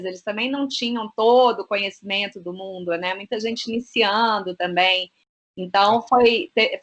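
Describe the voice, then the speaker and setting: A woman guest, a business consultant, talking freely in an interview, often filling pauses with short tags. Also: mid-range voice, fairly bright tone. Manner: talkative, fills with "né" and "é". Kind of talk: casual interview chat.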